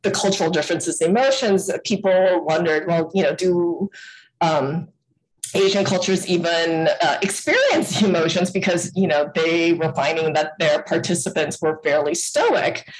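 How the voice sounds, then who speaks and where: A woman's voice: on the low side, moderate pace, low-quality recording. A woman giving an online lecture over a video call, talking casually with many fillers and restarts. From United States.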